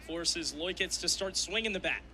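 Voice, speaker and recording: A man's voice: high-pitched, normal speed, pushed and bright, slight background noise. A young man doing radio play-by-play of a live game in clipped, descriptive phrases. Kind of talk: live sports commentary.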